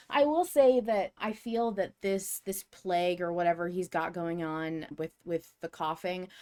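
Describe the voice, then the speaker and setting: A woman co-hosting a podcast, speculating in an informal, chatty fan discussion. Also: lowish voice. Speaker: podcast co-host. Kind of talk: casual fan discussion of an audio drama.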